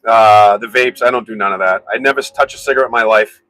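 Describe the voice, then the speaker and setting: A man hosting a casual live stream from his garage, rambling and answering viewers' comments in a very loud voice. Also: fairly low pitch, quick pace, poor audio. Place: home garage.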